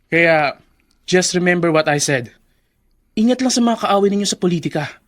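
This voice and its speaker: A young adult politician's son in a Filipino radio drama. His voice is high for a man, cool and self-assured, and he slips English phrases into his cautioning advice. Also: animated, normal speed, a bit bright. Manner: mixes English phrases into his speech, advising.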